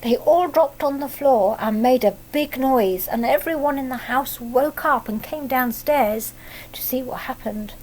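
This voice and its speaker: British female storyteller, higher-pitched, unhurried, teacherly, explaining things simply for small children; some background noise. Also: a little mellow, rough recording. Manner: simple child-directed talk with tag questions. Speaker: primary school teacher reading a picture book aloud.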